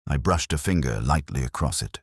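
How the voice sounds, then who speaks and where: A man playing a melancholy, introspective detective who narrates his memories in a measured, reflective literary style. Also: very deep voice, animated, husky, no room sound, studio quality, full character voice. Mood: brooding, watchful. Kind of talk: slow literary first-person audio drama narration.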